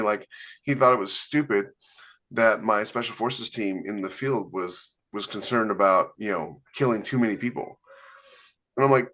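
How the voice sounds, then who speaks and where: A man's voice: on the low side, sharp tone. A man co-hosting a podcast in casual panel chat, talking in a rambling, emphatic way full of "you know" fillers. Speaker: podcast co-host and author.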